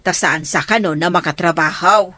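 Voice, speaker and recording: A woman's voice: on the low side, moderate pace, bright, forceful tone, dry recording. Scolding radio drama mother. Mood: exasperated, scolding. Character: exasperated, scolding mother of grown daughters. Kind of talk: Ilocano radio drama acting.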